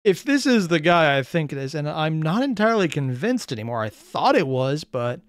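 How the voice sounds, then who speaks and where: A man with a clear, smooth voice giving casual, musing off-the-cuff commentary as a reaction host, close to the mic. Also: on the high side, very animated, moderate pace, no room sound. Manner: musing, thinking aloud, questioning.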